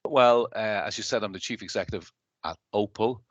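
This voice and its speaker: A man with a Dublin Irish accent, in his thirties, answering interview questions in a measured, businesslike way with frequent fillers and restarts. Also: lowish voice, dry recording, muffled recording. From Ireland. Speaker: guest, company chief executive.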